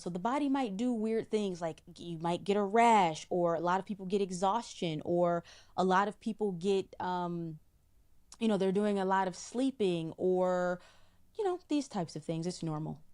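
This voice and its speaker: A middle-aged woman, a psychic guest in an interview, explaining in a measured way and often repeating a word while she finds the next. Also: fairly low pitch, darkish tone. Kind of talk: spiritual interview talk.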